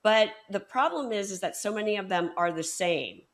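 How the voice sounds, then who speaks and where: A woman's voice: mid-range voice, lively intonation, moderate pace. A woman hosting a book podcast, talking with the author in an enthusiastic, emphatic way. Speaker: podcast host. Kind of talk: conversational interview.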